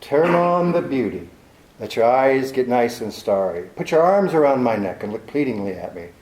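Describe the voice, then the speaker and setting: A man's voice: mid-range voice, sing-song, normal speed, a little mellow, natural voice. A man playing a cynical, tough private detective in a 1940s-style radio play, speaking with a dry, sardonic bite. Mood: mocking. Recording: echoey room.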